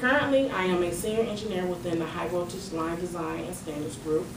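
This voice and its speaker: A woman's voice: fairly low pitch, a little fast, a crowd in the background. Woman speaking from a podium, roomy. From Detroit, Michigan. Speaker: club speaker giving an icebreaker speech. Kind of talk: prepared speech at a podium. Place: meeting room, indoors. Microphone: far camera. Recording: roomy and distant with noise.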